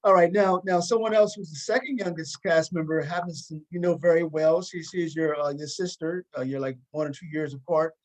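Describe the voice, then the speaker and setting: Higher-voiced man, halting talk show host. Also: steady tone, darkish tone, low-quality recording. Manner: halting, repeats words and rephrases questions. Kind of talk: casual conversational interviewing.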